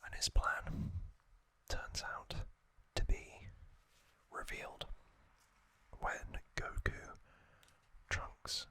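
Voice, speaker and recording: Slow whispering man, crisp and sibilant. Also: no echo. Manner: hushed, deliberate, short phrases with long gaps. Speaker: ASMR host. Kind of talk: whispered ASMR trivia recap.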